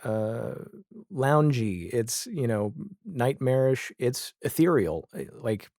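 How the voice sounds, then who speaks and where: A man speaking as a podcast guest in a casual, reflective conversation, with halting, thoughtful phrasing. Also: medium pitch, animated, darkish tone, no room sound, studio quality.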